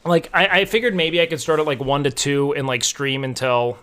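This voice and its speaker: A man's voice: fairly high pitch, steady tone, a little fast, a bit bright, smooth. A young man streaming and chatting casually with his viewers, rambling with lots of filler words. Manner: rambling, filler-heavy, frequent "like". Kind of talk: casual chat with chat.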